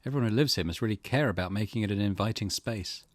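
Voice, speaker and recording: A man giving let's play commentary and reading game text aloud, thinking out loud as he goes. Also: fairly low pitch, quick pace, dry recording. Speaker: gaming channel host. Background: game music.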